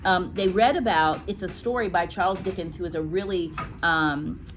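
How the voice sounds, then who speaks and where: A woman's voice: lowish voice, normal speed, soft background music. A woman teacher reading a storybook aloud to a class, with explanatory asides.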